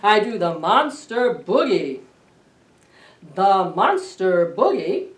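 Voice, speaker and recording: A woman voicing a big, scary but playful storybook monster, chanting boastfully in loud, drawn-out rhythm. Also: mid-range voice, very animated. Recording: roomy, distant camera microphone.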